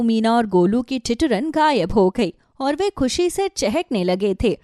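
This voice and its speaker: A woman narrating a children's story from a script in an expressive storytelling manner. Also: a little high, dry recording, clean studio sound.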